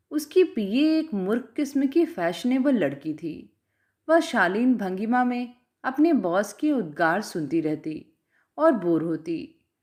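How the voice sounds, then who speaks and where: A woman reading a literary story aloud in a measured, scripted narration style. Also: fairly high pitch, a little mellow.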